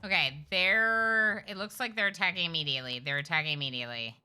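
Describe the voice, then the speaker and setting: A woman streaming a game live, chatty and excitable, talking to her characters as she plays at home. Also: lowish voice, fast talker, clear, resonant tone. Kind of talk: live gameplay commentary.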